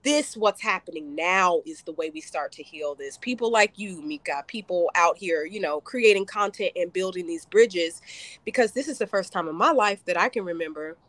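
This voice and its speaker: A woman's voice: lowish voice, animated, fairly bright tone, no room sound. A woman, a guest in a casual conversation, speaking with passion and emphasis. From United States. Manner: passionate, emphatic, speeds up and slows down.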